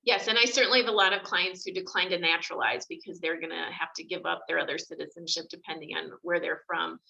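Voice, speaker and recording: Bright-voiced woman attorney on dull call audio. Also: lowish voice, fairly even intonation, quick pace, vocal fry. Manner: chatty, many fillers. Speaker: immigration attorney. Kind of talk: video call panel discussion. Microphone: video call.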